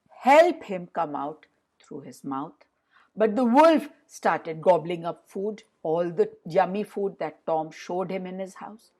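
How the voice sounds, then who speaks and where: Expressive, unhurried woman telling children's stories. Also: on the low side. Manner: expressive, addresses listeners directly. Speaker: storyteller for children. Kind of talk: animated narration of a fairy tale for children.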